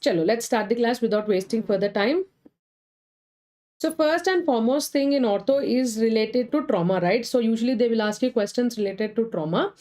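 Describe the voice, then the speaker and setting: A woman with an Indian English accent, suffering from a cold, teaching a live online medical exam class, answering viewers' comments between points briskly. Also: fairly high pitch, a little mellow. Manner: brisk, reads chat names and answers viewers. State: has a cold.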